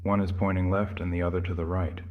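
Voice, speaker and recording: A man's voice: low-pitched, level tone, quick pace, phone-quality sound. A man giving scripted narration of a horror story as its narrator.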